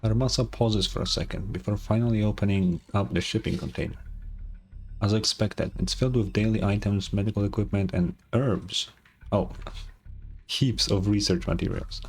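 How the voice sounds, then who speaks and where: A man's voice: fairly low pitch, a little fast. A man playing a video game, reading story narration aloud and adding murmured asides, with game music behind him. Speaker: game streamer. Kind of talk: gameplay commentary with read-aloud story narration.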